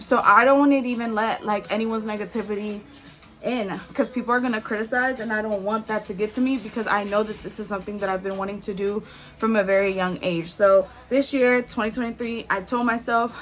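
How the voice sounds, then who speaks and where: A woman in her twenties chatting candidly as a beauty vlogger while doing her makeup, with frequent "like"s and soft laughs. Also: mid-range voice. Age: 20s.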